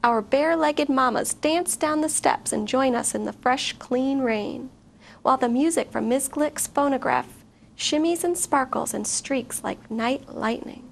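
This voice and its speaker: Woman, expressive children's read-aloud, high pitched, creaky, slight background noise. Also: measured pace. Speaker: guest story reader.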